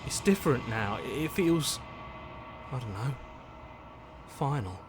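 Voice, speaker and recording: An actor playing a timid, frightened inner voice in a game, hesitant and pleading. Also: male voice, mid-range voice, lively intonation, takes it slowly, noisy background. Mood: pleading, dread. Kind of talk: dramatic game voice acting.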